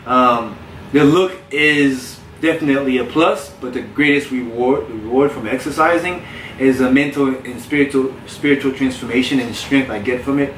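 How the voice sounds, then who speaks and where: A man who grew up in South Florida, a personal trainer telling his story in a relaxed, candid way with frequent restarts. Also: mid-range voice, moderate pace, slight background noise. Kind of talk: casual podcast interview. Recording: roomy, distant mic with faint ambience.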